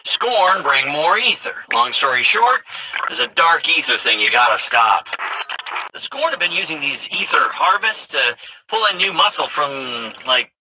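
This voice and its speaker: A reluctant, offhand video game drifter. His fairly high voice moves around animatedly in loose colloquial speech, under clear radio-like noise. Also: very animated, measured pace, sharp tone, full character voice, rough recording. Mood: offhand, wary. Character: casual, offhand male drifter, wry and reluctant.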